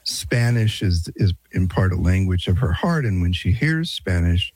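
A man's voice: deep voice, big pitch swings, normal speed, darkish tone, breathy edge, no room sound. A man hosting a radio show, moving from the interview into a break and a friendly, conversational promo.

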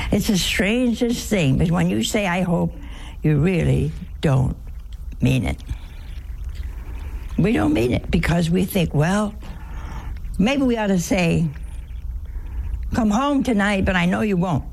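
An older woman with a hoarse, gravelly voice talks slowly and warmly about faith, dropping folksy asides. A faint hiss of room ambience sits under her voice. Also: medium pitch, lively intonation, low-quality recording. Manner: folksy, chuckling asides, slow conversational delivery. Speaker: TV show host, nun. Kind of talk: casual spiritual teaching.

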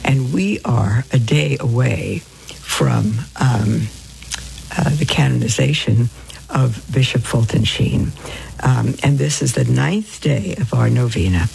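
A woman hosting live devotional radio in a hoarse voice, talking informally and haltingly with affection for her listeners. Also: very deep voice, darkish tone, slight background noise, poor audio. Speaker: religious radio host.

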